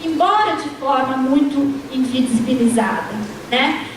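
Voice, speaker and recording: A woman's voice: sits high, unhurried. A woman lecturer giving an academic panel talk, tagging her sentences with a questioning "né" and restarting words mid-way. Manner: frequent tag "né", restarts mid-word. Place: university lecture room. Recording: distant, echoey lecture hall with noise.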